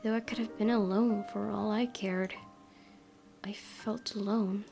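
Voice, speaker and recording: A woman reading a visual novel aloud as a let's play host, in measured, earnest dramatic narration. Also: medium pitch, steady tone, gravelly, warm tone.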